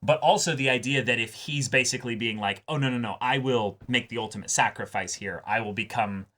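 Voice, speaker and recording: A man's voice: medium pitch, brisk, pushed and bright. A man hosting an anime discussion show, talking casually and analytically, stressing and repeating his points insistently.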